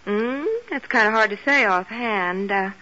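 A woman's voice: medium pitch, a little slow, bright, forceful tone. A woman playing a seductive, evasive femme fatale with a coy, hesitant manner in 1940s radio drama. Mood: coy, breezy. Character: Blanche, toy-sized seductive, evasive brunette femme fatale. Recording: muffled old radio recording.

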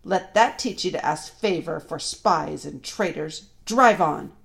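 A woman's voice: low-pitched, lively intonation, a little slow, creaky, a bit bright, heavily acted. A woman voicing a cruel, imperious witch queen in a storybook, commanding and menacing in her fury. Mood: threatening. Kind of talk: storybook reading.

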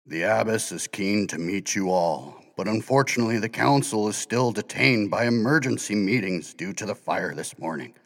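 A man voicing a gruff but kindly animal guide who teases warmly. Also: on the low side, expressive, moderate pace, hardly any pauses, big-room sound. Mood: teasing.

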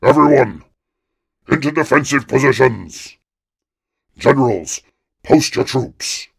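A man voicing a stately, commanding troll king who speaks slowly and gravely. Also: sits low, no echo, full character voice. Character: deep-voiced regal troll king, commanding.

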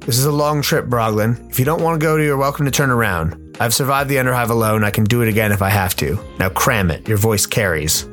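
A man's voice: medium pitch, fast, hardly any pauses, fairly bright tone, faint music behind, natural voice. Man voicing a curt, bossy expedition leader. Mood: curt, commanding. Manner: bossy, impatient. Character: curt, weary underhive cook leading a dangerous expedition. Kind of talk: radio play character acting.

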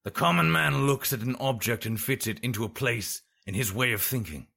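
Audiobook role: learned old wizard teacher, man performing, raspy, measured, emphatic, widely varied intonation. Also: mid-range voice, lively intonation, pushed and bright, pitched above the performer's own voice, heavily acted. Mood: stern lecturing. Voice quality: gravelly, raspy. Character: stern learned old sorcerer teaching his pupils.

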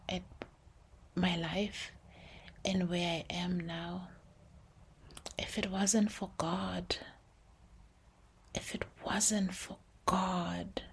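A woman hosting a podcast, speaking softly and calmly in a reflective faith talk, slipping between English and Sesotho phrases. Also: lowish voice, husky. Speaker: podcast host.

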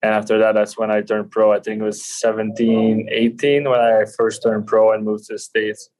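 A man's voice: lowish voice, level tone, normal speed, darkish tone. A man in his twenties from Quebec with a French Canadian accent, a native French speaker, chatting casually as an interview guest. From Quebec City, Canada. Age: 20s. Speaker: podcast guest, professional hockey player.

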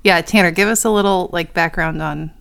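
A woman's voice: lowish voice, brisk, gravelly, fairly bright tone, smooth. A young woman co-hosting a podcast, speaking in a friendly, casual way as she steers the talk along. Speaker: podcast co-host. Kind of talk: casual chat.

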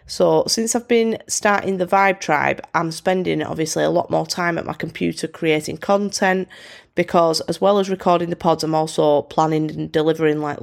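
A woman's voice: low-pitched, creaky. A British woman hosting a solo podcast, chatting in an upbeat, self-deprecating way with a bunged-up, congested voice. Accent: British English. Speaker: podcast host. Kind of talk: casual solo podcast chat.

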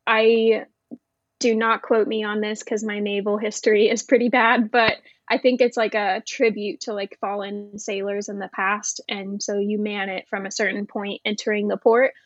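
A woman's voice: mid-range voice, little pitch movement, moderate pace, no echo. Woman chatting casually in slightly muffled audio. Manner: informal, explanatory. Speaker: podcast guest, Navy veteran. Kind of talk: casual interview chat.

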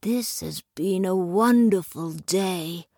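A woman plays a timid, innocent storybook mole, a little fellow full of wonder. The words come out slowly and drawn out, recorded dry and close. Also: lowish voice, dark tone, dry recording. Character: shy, naive, easily delighted male mole. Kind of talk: storybook character reading.

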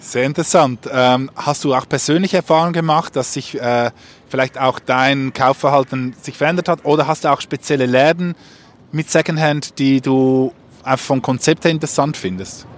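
A man hosting a podcast, speaking casually and off the cuff, haltingly searching for words. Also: mid-range voice, fairly even intonation, slight background noise. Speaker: podcast host and interviewer. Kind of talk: casual unscripted podcast talk.

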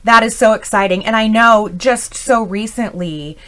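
A woman's voice: a little high, normal speed, fairly bright tone. A woman hosting a conversational interview in an informal, encouraging manner. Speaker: interview host.

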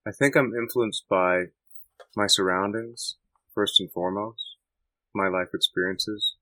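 A Canadian man answering interview questions in a thoughtful, halting way with many restarts. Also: on the low side, pushed and bright, dry recording, poor audio. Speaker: musician guest.

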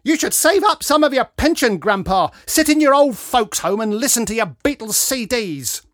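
Man putting on a shrill, jeering woman. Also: animated, normal speed, dry recording, studio quality. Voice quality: screeching, shrill. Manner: jeering, yelling. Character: screechy, cheeky, mocking woman, lout's girlfriend.

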